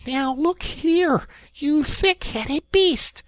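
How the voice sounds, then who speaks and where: A shrill, excitable water rat, put on by a storybook reader, who barks orders and exclaims at every turn. The voice leaps high and swoops wildly. It sounds dry and close, with no room around it. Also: slow pace, no echo, heavily acted. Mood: impatient. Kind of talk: storybook read-aloud.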